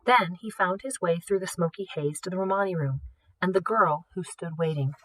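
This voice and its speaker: A woman reading a story aloud in an expressive, scripted narration style. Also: fairly low pitch, moderate pace, dry recording, low-quality recording. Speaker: audiobook reader, teacher.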